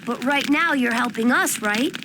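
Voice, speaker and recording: A woman's voice: on the high side, moderate pace, close to an everyday voice. A woman voicing an inquisitive, articulate youngster in a fantasy video game. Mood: curious. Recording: noisy game ambience behind voice.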